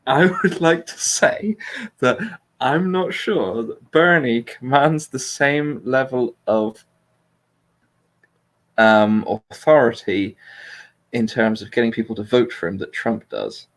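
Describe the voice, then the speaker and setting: A man's voice: medium pitch, slow. A British man hosting a casual political chat, speaking bluntly with swearing and a self-deprecating streak. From Britain. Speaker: host.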